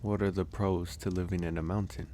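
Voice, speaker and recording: A man voicing a gentle young werewolf in a soft, intimate ASMR roleplay, with an unhurried, hesitant and reassuring manner. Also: low voice, flat delivery, a little hiss or hum, lightly acted. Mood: thoughtful, relaxed. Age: young adult. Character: gentle young male werewolf, shy mountain recluse.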